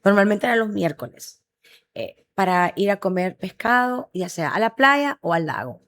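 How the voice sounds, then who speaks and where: A woman's voice: medium pitch, normal speed. A middle-aged woman from Honduras, a guest in an interview who tells her stories with enthusiasm. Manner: animated, enthusiastic storytelling. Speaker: guest, restaurant founder. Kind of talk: interview conversation.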